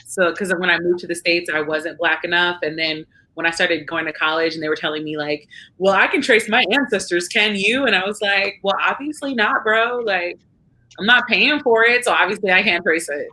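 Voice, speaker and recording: A young woman with a bright, sharp-edged voice chats casually on a podcast. She is candid and wry, often poking fun at herself. Her delivery is loose and conversational, with fillers between thoughts. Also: on the low side, normal speed, rough recording. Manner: candid, wry and self-mocking. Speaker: podcast co-host.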